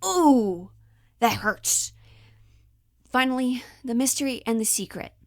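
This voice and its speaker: A woman giving a casual, chatty acting lesson, slangy and animated, slipping in and out of character as she explains. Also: on the high side, slow pace, dry recording, clean studio sound. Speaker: acting teacher.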